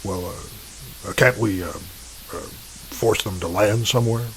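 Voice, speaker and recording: An actor playing an evasive, calculating middle-aged American president who stammers and hedges in an audio drama. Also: male voice, low-pitched, gravelly, rough recording. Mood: hesitant, uneasy. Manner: halting, stammering, hedging.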